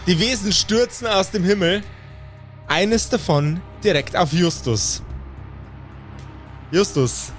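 A high-voiced male game master tells an improvised fantasy adventure. His delivery is descriptive and dramatic, and music plays behind him. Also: slow pace, long pauses. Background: background music.

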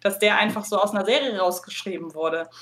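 A woman's voice: mid-range voice, brisk, fairly bright tone. A woman in a casual podcast conversation, talking in a wordy, explanatory way. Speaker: podcast co-host appearing as guest.

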